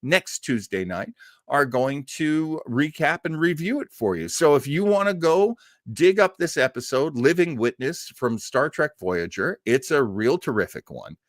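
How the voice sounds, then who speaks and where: A man's voice: a little high, sing-song, unhurried, no room sound, clean studio sound. A man in his sixties hosting an online show with casual chat, rambling and enthusiastic in an effusive way. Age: 60s.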